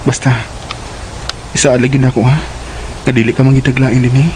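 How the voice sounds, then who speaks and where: A man playing an earnest, gentle young suitor in Cebuano radio drama, with a Cebuano accent. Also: mid-range voice, level tone, a little fast, slight background noise, close to an everyday voice. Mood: reassuring.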